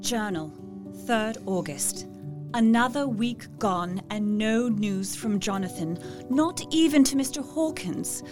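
An earnest, worried young diarist. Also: female voice, medium pitch, expressive, slow. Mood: anxious, puzzled. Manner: earnest, reflective, precise. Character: worried, devoted young woman writing her journal. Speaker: voice actor. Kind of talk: dramatic journal narration, period audio drama. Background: music.

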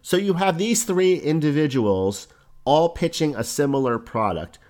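A man with a hoarse voice hosting a solo podcast, explaining ideas in a conversational way with examples. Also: a little high, expressive, unhurried, darkish tone. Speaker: podcast host. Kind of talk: solo podcast talk on online business.

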